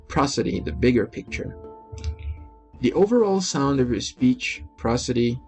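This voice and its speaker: A man with a Brazilian Portuguese accent, a native Portuguese speaker, reading an article aloud in a steady, even voice as host of a language video. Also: mid-range voice, creaky. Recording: faint music behind.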